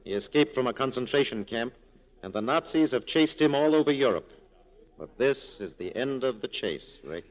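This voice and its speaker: An actor playing a wryly corrupt police captain with an urbane, teasing, courtly manner, in 1940s radio style. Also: male voice, mid-range voice, animated, normal speed, natural voice. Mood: wry, self-assured. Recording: muffled 1940s radio broadcast recording.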